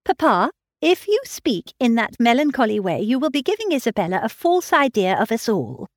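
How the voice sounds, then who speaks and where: Cheerful young woman, quick to reassure, read by a woman, dry sound. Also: a little high, animated, no echo, pitched above the performer's own voice. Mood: eager.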